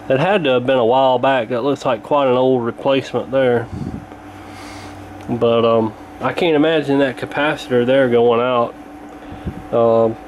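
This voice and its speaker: Folksy man over a steady room hum. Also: mid-range voice, dull audio. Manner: folksy, colloquial, thinking aloud. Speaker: radio repair hobbyist presenting. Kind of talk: casual hands-on repair walkthrough.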